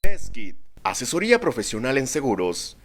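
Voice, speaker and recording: A man's voice: medium pitch, huge pitch swings, normal speed. A man reading a scripted advertising voice-over. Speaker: commercial announcer.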